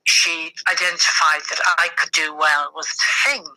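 A woman's voice: sits low, flat delivery, normal speed, bright, forceful tone, no room sound, low-quality recording. A middle-aged woman from the west of Ireland with an Irish accent, reflecting on personal experience as a podcast guest in hesitant, thoughtful phrases.

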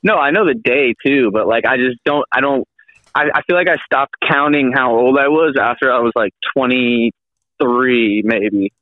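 Twenties male guest, halting and rambly, sounds like he's dialed in over a tinny phone line. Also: medium pitch, dry recording. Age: 20s. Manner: rambling, halting, with many restarts and fillers. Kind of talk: casual podcast chat.

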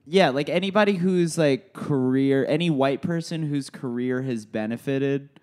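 Smooth-voiced, jokey man. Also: mid-range voice, normal speed, a little mellow. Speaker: podcast co-host. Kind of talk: casual chat.

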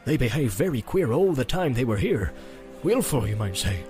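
A fussy innkeeper, anxious and apologetic, with his voice pushed high, as a male narrator puts him on. He fumbles to explain himself. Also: big pitch swings, moderate pace, darkish tone, faint music behind, natural voice. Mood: flustered. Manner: flustered, apologetic.

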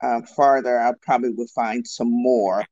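A man's voice: mid-range voice, steady tone, normal speed, warm tone. A man talking in a thoughtful, hesitant way in a casual conversation, laughing easily. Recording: slightly muffled lo-fi sound.

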